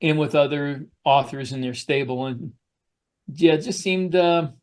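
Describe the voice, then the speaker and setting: A man with a somewhat high-pitched voice chats casually as a podcast co-host. His delivery is halting, as he often pauses to search for the next words. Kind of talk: casual conversational recollection.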